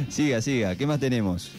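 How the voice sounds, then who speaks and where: A man's voice: mid-range voice, animated, unhurried. A man with an Argentine accent chatting casually as a radio host. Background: soft guitar music.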